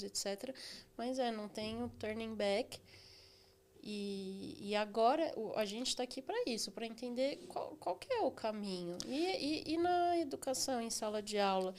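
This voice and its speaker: A Brazilian woman with a voice a bit higher than most women's. She speaks as a podcast host in casual conversation. Her thoughts come out reflectively, built piece by piece. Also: a little mellow.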